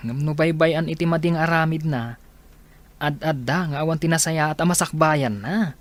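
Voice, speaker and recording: A man playing a concerned, gently persuasive young student, earnest and questioning in an amateur audio drama. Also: on the high side, normal speed, close to an everyday voice. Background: faint music.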